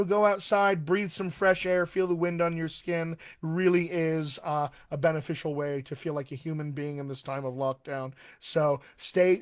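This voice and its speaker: A man, a dialect coach, talking casually in a live stream, friendly and chatty with frequent ums and uhs. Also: on the high side. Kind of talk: live-streamed solo talk.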